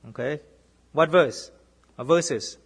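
Husky-voiced Boston preacher. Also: male voice, a little high, takes it slowly. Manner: keeps checking in with listeners, asking "right?"; swings between emphatic lifts and hushed, dropped repeats. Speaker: minister. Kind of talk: sermon to a congregation.

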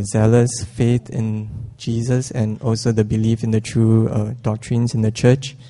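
Soft-spoken man from Singapore, hesitant. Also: medium pitch. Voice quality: described as whiny and robotic. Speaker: church member giving testimony. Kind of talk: prepared church testimony.

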